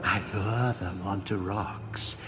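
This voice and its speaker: An actor playing a mad, possessive husband in a gothic radio drama, with theatrical, drawn-out, taunting menace. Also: male voice, low-pitched, steady tone, heavy background noise. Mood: gloating madness.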